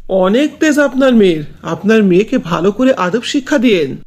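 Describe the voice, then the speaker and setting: Woman playing a husky, animated cartoon village man. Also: medium pitch, moderate pace. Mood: brusque, irritated. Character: ordinary village men: teasing husband, brusque neighbours. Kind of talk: Bengali cartoon dubbing.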